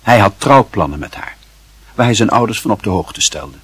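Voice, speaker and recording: Husky male narrator, dry sound. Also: on the low side, lively intonation, moderate pace, a bit bright, no echo. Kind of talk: scripted literary narration for a radio play.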